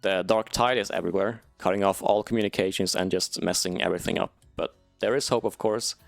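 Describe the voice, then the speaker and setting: A man's voice: low voice, fairly even intonation, brisk, creaky, no room sound, faint music behind. A man giving an informal, wry video game recap, swearing casually.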